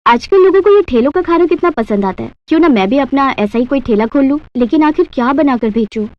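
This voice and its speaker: A woman's voice: high-pitched, lively intonation, fast talker, lo-fi sound. A woman voicing a humble, hardworking housemaid and mother with an earnest manner in a Hindi cartoon story. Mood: earnest, hopeful.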